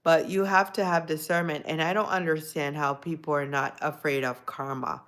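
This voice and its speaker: A woman with a notably low voice hosts a casual livestream, speaking reflectively to her audience. She greets chatters by name and often repeats a phrase for emphasis. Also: fairly even intonation, moderate pace, fairly bright tone. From Jamaican descent, lives in the US. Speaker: livestream host. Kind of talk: casual live chat with viewers.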